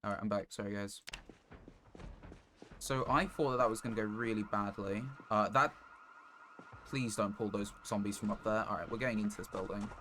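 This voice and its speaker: A man's voice: on the low side, steady tone, normal speed, long pauses, bright, forceful tone, a little hiss or hum. A man livestreaming a video game, casually talking through his plans to viewers and swearing now and then. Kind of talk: casual live game commentary.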